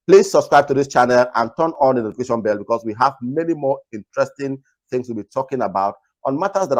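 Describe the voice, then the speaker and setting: A man from Nigeria hosting a talk video, earnestly advising viewers in a naturally loud voice and repeating his points. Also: medium pitch. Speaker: host. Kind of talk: casual commentary to camera.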